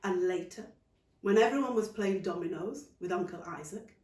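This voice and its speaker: A woman narrating a children's story expressively, in measured phrases with dramatic pauses. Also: fairly low pitch, darkish tone. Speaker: author reading her own story. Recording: roomy sound, distant microphone.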